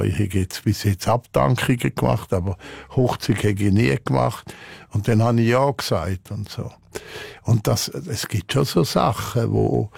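An older man, a prison chaplain whose first language is French, speaks Swiss German and tells stories in an interview, with many halting restarts and fillers. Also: mid-range voice, gravelly, a little mellow, husky, no room sound, studio quality. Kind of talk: interview answers, storytelling.

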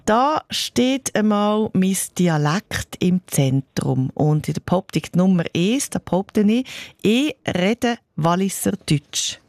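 A woman's voice: mid-range voice, animated, takes it slowly, a little mellow, no room sound. A woman with a Swiss German accent hosting a radio quiz, chatty and upbeat as she asks her guest questions.

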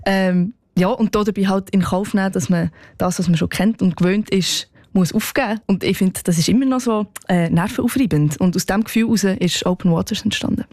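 A young woman singer with a Swiss German accent answers an interviewer thoughtfully and pauses on small fillers. Her voice sits in a middle pitch and sounds close and dry. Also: darkish tone, dry recording. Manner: thoughtful, laughs easily, hesitant fillers. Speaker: singer-songwriter guest. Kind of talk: radio interview.

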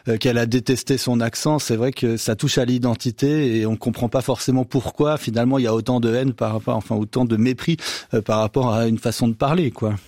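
A man's voice: mid-range voice, a little mellow, no room sound. Man explaining stuff on radio, lots of ums, mid voice, dry studio mic. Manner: explanatory, many fillers. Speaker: expert guest. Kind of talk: radio discussion.

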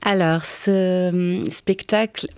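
A woman's voice: on the low side, steady tone, takes it slowly, darkish tone, smooth, no room sound. Thoughtful woman. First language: Italian. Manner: reflective, searching for words. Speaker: theatre director and author, guest. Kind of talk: radio interview.

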